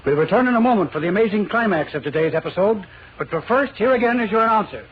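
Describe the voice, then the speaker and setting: A man's voice: high voice, lively intonation, quick pace, slightly rough. An American man narrating a 1940s radio serial in a suspenseful, theatrical style. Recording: muffled, faintly noisy old radio.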